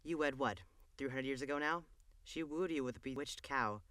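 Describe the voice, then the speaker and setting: Bright, fussy royal steward played by a man. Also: medium pitch, normal speed, dry recording, close to an everyday voice. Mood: sardonic. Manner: sardonic, scolding. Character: fussy, exasperated long-serving male royal steward. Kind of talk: audio drama acting.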